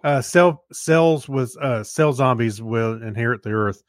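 A man co-hosting a podcast in casual chat, speaking in a halting, easygoing way. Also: medium pitch, dry recording, clean studio sound. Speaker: podcast co-host. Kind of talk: casual podcast chat, reading listener feedback.

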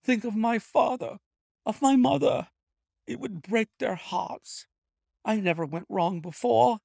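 A man's voice: high-pitched, a little slow, creaky, a little mellow, no room sound, studio quality, pitched above the performer's own voice, full character voice. A man doing a frightened pleading thief. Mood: begging. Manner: pleading, panicky. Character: frightened, cornered male hotel thief. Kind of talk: audiobook character voice.

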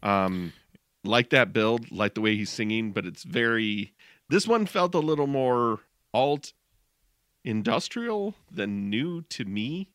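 A man's voice: medium pitch, animated, slow, a bit bright, dry recording. A man co-hosting a music podcast, talking in a laid-back, musing way with goofy asides. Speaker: podcast co-host. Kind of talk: casual podcast chat.

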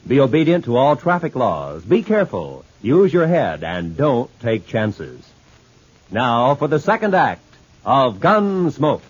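A man announcing in scripted 1950s radio style, with a slightly husky voice. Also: mid-range voice, sing-song, a little slow, a little hiss or hum. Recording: dull old 1950s radio recording.

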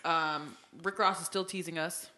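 A woman's voice: lowish voice, steady tone, moderate pace, pushed and bright. A woman co-hosting a casual podcast chat, wry and steering the talk from topic to topic. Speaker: podcast host.

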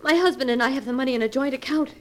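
An actress playing a frightened, desperate wife, pleading and faltering in a 1940s radio melodrama style. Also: female voice, high-pitched, very fast, close to an everyday voice. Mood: horrified, desperate. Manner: pleading, breathlessly dramatic, faltering. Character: frightened, desperate wife hiding a dark secret. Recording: noisy vintage 1940s radio recording.

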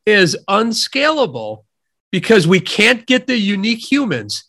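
Brief: Midwestern American man, nasal, high and bright-toned, measured explaining. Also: sing-song. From Wisconsin, USA. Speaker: guest economist. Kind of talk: podcast interview, explaining ideas.

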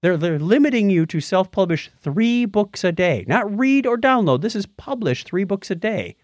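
A man with a fairly high, very animated voice co-hosts a casual show. He chats and jokes his way through items in an informal news-banter style. The sound is close and dry. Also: moderate pace, no echo. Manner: jokey, chatty. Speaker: podcast co-host.

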